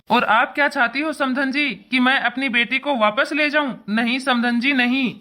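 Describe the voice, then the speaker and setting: Lady doing a cartoon dad scolding for his married daughter, sharp high voice, preachy and emphatic. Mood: indignant. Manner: emphatic, lecturing, repetitive scolding. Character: indignant, protective father of a married daughter. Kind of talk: cartoon family drama dialogue.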